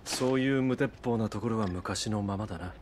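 Low-voiced stoic warrior played by a man. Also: moderate pace, a little hiss or hum, close to an everyday voice. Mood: calm, sincere. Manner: restrained, resolute, terse. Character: stoic, determined young male warrior of noble birth. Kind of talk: Japanese video game voice acting.

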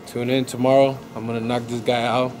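A young man from Sweden, a boxer, giving short, relaxed answers in a casual interview inside a hotel hall. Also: medium pitch, a little fast, a loud crowd. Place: hotel event hall indoors. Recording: noticeable background noise.

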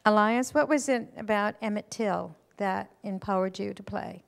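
An American woman in her sixties hosting a live event, speaking emphatically and deliberately into a handheld microphone. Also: mid-range voice, gravelly. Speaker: event host and moderator. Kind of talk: addressing a live audience on stage. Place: auditorium stage before an audience. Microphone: handheld stage microphone.

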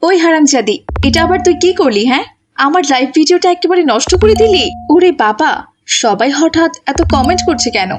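A woman voicing a selfish, short-tempered mother in a cartoon, with a shrill, theatrical delivery. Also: lively intonation, normal speed, slight background noise. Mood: angry.

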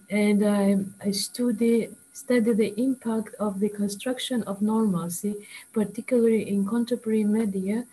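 A woman from Lithuania slowly introducing herself on a video call, searching for words, on a headset. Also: medium pitch, dark tone, husky, rough recording. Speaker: artist.

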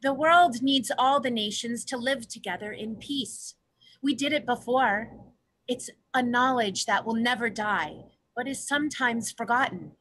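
A woman as an earnest, bright-voiced orca leader. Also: a little high, slightly rough, full character voice, rough recording. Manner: solemn, pleading, declamatory. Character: Ice Traveler, female orca, earnest hopeful leader. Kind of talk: dramatic play reading. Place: home room, video call.

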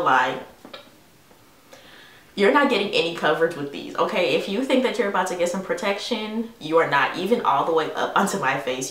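A woman's voice: sits low, a bit bright, reverberant, far from the mic. A young woman in her twenties chatting playfully to camera about sunglasses she was sent, rambling and calling viewers honey. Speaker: beauty vlogger. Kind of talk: casual haul vlog talking to camera. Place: bedroom vanity with lighted mirror, indoors.

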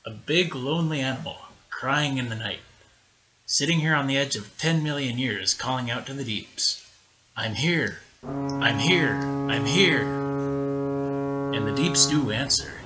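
A man's voice: mid-range voice, a little slow, pushed and bright, faint music behind, voice pushed up, rough recording. A man playing a weathered, brooding old lighthouse keeper who muses wistfully in a folksy way, in an amateur audio drama. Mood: ominous, wistful. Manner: folksy, musing delivery.

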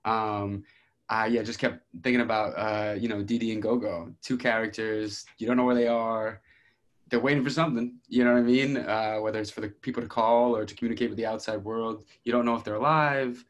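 A man's voice: lowish voice, fairly even intonation, fairly quick. A man giving casual feedback during a group video call. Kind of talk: casual chat. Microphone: video call microphone.

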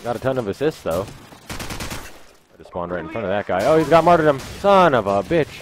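A man's voice: mid-range voice, animated, normal speed. A young man giving casual, foul-mouthed live gameplay commentary as a video host, talking into a headset mic. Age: young adult. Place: small room indoors. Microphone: gaming headset mic. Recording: loud game gunfire behind voice.

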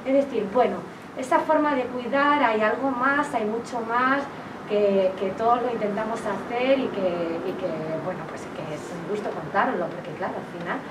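A woman's voice: on the high side, moderate pace. Echoey noisy room, distant mic, Castilian Spanish woman, forties, chatty, anecdotal public talk, masked speech. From Valdepeñas, Castile-La Mancha, Spain. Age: 40s. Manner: chatty, hand-gesturing, speaking through a face mask. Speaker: nurse and author presenting her book. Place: indoors, public library reading area. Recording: echoey room, distant microphone, clear background noise.

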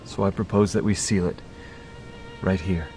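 An intense young leader in a post-apocalyptic audio drama. His voice sits on the low side, earnest and solemn, with faint music underneath. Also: steady tone, normal speed, darkish tone. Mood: solemn, urgent. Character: earnest, intense young man rallying his companions in a ravaged world.

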